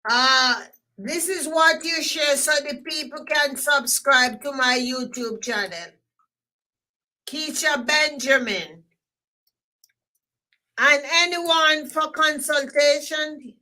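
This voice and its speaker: A woman with a Jamaican accent hosting a live stream, talking loudly and emphatically and repeating herself as she signs off. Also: sits high, slow, pauses often, poor audio. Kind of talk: casual live stream sign-off.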